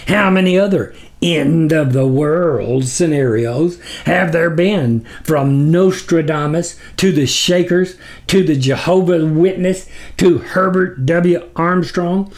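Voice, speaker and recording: A man voicing a cruel, impatient demon overlord for an audiobook story, roaring pompous insults with thunderous disdain. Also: slow. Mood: disdainful. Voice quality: booming, roaring.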